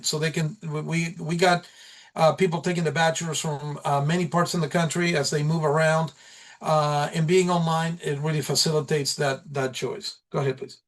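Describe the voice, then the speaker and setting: A man giving an informal slide presentation as a college program instructor at a meeting, speaking haltingly with repeated and restarted phrases. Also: on the high side.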